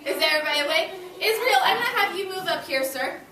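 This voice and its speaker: A woman's voice: very high voice, lively intonation, quick pace. A woman teaching young children in an interactive read-aloud lesson, addressing them directly with short prompts and questions. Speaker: elementary school teacher. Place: classroom. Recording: roomy, distant mic with background noise.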